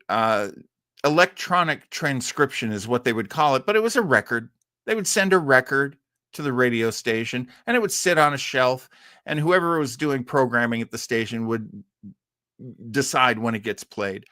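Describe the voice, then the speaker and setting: An American man hosting an informal talk on radio history, conversational, halting and explanatory. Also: mid-range voice, pushed and bright, dry recording, studio quality. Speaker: host.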